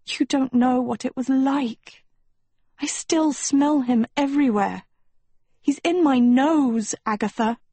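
A woman's voice: sits high, slow pace, mellow, slightly rough, dry recording, pitched above the performer's own voice, exaggerated voice. A woman voicing a vain, dramatic teenage girl in a storybook, complaining theatrically and full of huffy self-pity. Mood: wounded, dramatic.

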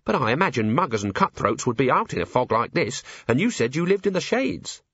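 A man's voice: mid-range voice, sing-song, brisk, fairly bright tone, slightly rough, no echo, highs cut off, pitched above the performer's own voice, full character voice. A man voicing a timid, earnest young female dwarf officer in an audiobook, eager and deferential. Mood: shy, curious.

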